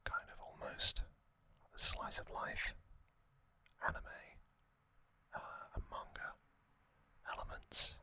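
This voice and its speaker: A man hosting an ASMR video, whispering a soft, hesitant recap and correcting himself now and then. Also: slow pace, long pauses. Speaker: ASMR host.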